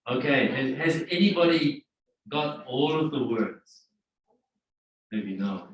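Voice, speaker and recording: A man's voice: medium pitch, animated, slow. Male classroom teacher, mid-range voice, prompting students; recorded at a distance in a roomy space. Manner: coaxing, questioning students. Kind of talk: interactive classroom talk. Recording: echoey room, distant microphone.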